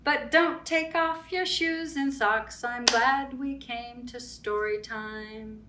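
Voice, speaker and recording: A woman reads to young children in an expressive, unhurried storytime style. She speaks directly to her little listeners as a librarian host would. The recording is roomy and echoing, with her voice some way from the mic. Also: a little high, background noise. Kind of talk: children's storytime read-aloud with sing-song rhymes. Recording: roomy echo, distant from the mic.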